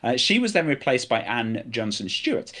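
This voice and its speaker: A man's voice: medium pitch, very animated, normal speed, bright, forceful tone. A man with a British accent hosting a podcast, talking casually with hesitant restarts. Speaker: podcast host.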